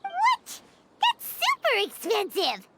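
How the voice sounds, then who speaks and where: A woman voicing a tiny, naive, childlike female fairy companion in a video game, squeaky and exclamatory. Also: wildly swooping pitch, slow, creaky, very noisy, heavily acted. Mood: alarmed. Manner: exclamatory, refers to self in third person. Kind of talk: video game character voice acting.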